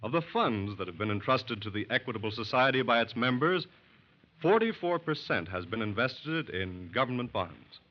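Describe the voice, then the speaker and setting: Formal American 1940s radio announcer, muffled recording. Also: male voice, mid-range voice, animated, moderate pace. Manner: formal, emphatic. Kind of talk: 1940s radio announcing, scripted sponsor message.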